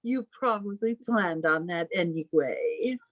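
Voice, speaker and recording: A woman's voice: lowish voice, lively intonation, fairly bright tone, no echo, studio quality. A woman playing a controlling, martyred, sternly doting elder sister in an old-time radio drama, with a patronising manner. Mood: curt, hurt. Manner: deliberate, patronising, smothering.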